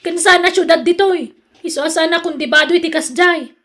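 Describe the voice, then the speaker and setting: A woman acting as a jealous Ilocano wife in a radio drama, her voice pitched high. She is hardworking and exasperated, and she nags and complains. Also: a little fast, fairly bright tone. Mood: scolding, complaining.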